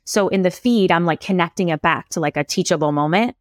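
Woman, podcast guest, copywriting coach, low voice, chatty with many fillers, dry close recording. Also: fast, no room sound. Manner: chatty, lots of "like" and "you know" fillers. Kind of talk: casual podcast conversation.

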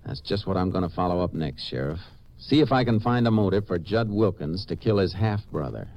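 An actor playing a laconic, methodical Texas Ranger in 1950s radio drama, speaking in a matter-of-fact, firm, clipped way. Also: male voice, low-pitched, lively intonation, fairly quick. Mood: pondering. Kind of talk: 1950s radio drama acting, dialogue and narration. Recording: dull, noisy 1950s radio recording.

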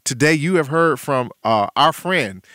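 Middle-aged pastor guy hosting a show, gentle and caring, dry close sound. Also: fairly high pitch, animated, a little slow, no room sound. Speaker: pastor and host. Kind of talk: interview hosting.

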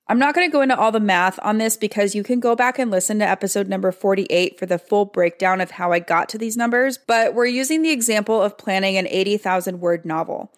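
Slightly hoarse woman podcast host. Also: medium pitch. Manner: upbeat, clear step-by-step explaining. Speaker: podcast host and writing coach. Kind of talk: scripted podcast teaching.

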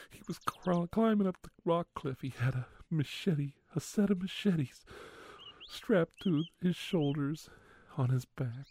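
A man's voice: on the high side, mellow, voice pushed up. A man voicing a flustered, nerdy young man in thick glasses who rambles in an overwrought, breathless way. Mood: distraught. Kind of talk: ASMR roleplay storytelling.

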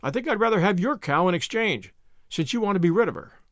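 A man's voice: high voice, expressive, fast, fairly bright tone, dry recording, studio quality. Guy doing a outspoken old farmer from a storybook, folksy and blunt, voice jumps around. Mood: brisk forgiving. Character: gruff, outspoken old farmer, brusque but kindly.

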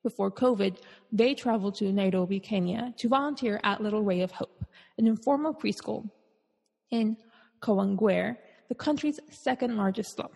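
A woman hosting a podcast, reading out a long guest introduction at an even pace and dropping in Spanish words. Her voice is breathy. Long reverb trails after her words. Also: mid-range voice, moderate pace. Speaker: podcast host. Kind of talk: scripted intro and interview.

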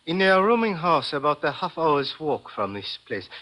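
A man's voice: a little high, very animated, normal speed, pushed and bright. A man acting a formal, precise police lieutenant in a 1950s radio drama. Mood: cool, cooperative. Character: formal, controlled male police lieutenant in Burma.

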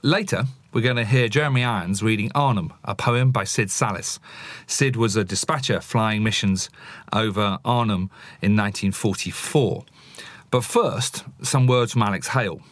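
A man with a fairly low voice hosts a podcast. He presents and interviews in a measured hosting style. The sound is dry, with no room around it. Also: no room sound.

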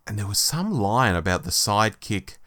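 A man's voice: lowish voice, big pitch swings, normal speed, sharp tone. A man co-hosting a podcast, talking casually as he compares and discusses stories. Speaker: podcast co-host. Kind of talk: casual book review discussion.

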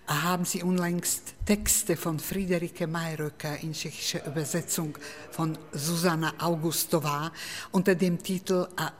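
A woman interviewer asking measured, formally worded questions. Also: low-pitched. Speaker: radio interviewer. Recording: reverberant room with faint ambient noise.

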